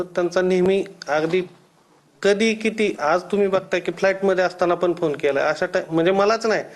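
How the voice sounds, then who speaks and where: A man giving hesitant, deferential interview answers in a halting way. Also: sits high. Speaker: interviewee, relative of a politician.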